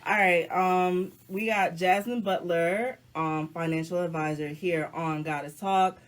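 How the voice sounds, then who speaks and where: A woman hosting a casual live talk show, hoarse from the flu, with a deep voice and a chatty, slangy way of addressing her viewers. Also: steady tone, measured pace. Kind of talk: casual live-stream chat.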